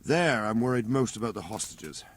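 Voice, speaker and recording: An actor playing a blunt, gruff middle-aged veteran soldier commander in a video game cutscene, speaking in plain earthy soldier's talk. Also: male voice, on the low side, lively intonation, normal speed. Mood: briefing.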